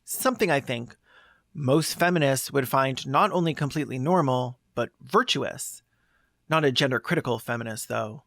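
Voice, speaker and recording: A man's voice: medium pitch, moderate pace, vocal fry, fairly bright tone, dry recording, studio quality. A man delivering scripted, opinionated commentary as a video essayist, with a sarcastic, scornful manner.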